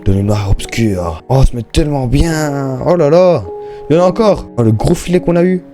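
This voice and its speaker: A man's voice: on the high side, expressive, moderate pace, warm tone, soft background music. A young man giving casual, slangy Minecraft let's-play commentary, chatting and thinking aloud as he plays. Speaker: gaming YouTuber.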